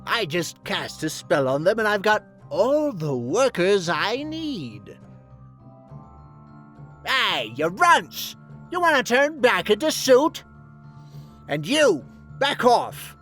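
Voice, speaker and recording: A man's voice: sits high, very animated, slow, long pauses, a bit bright, faint music behind, full character voice. Grumpy old boiler man voiced by a man. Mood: scolding. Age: older. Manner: barking, irritable orders. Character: grumpy old spider-limbed boiler man, bossy and gruff. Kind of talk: amateur cartoon voice-acting demo.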